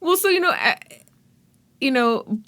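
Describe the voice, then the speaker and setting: A woman's voice: high-pitched, expressive, measured pace, bright, forceful tone. A woman hosting a podcast, interviewing a guest in a conversational style.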